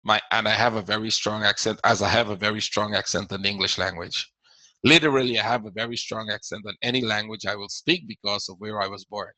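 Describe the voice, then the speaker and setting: A man's voice: fairly low pitch, steady tone, quick pace, bright, forceful tone, no room sound, rough recording. Caribbean-accented man hosting a webinar. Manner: explains casually, repeats words, reads out viewer questions. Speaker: trading webinar host. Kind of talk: live webinar Q&A.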